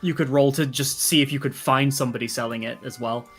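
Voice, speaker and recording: A man with a mid-pitched voice runs a tabletop game as game master. He talks casually and a bit hesitantly, working out rulings aloud, with soft music underneath. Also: steady tone, quick pace. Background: faint music.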